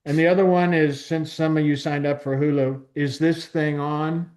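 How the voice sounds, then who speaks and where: Higher-pitched man in casual group chat. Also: little pitch movement, moderate pace, a little mellow. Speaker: film club member. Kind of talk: casual group discussion, informal film recommendation.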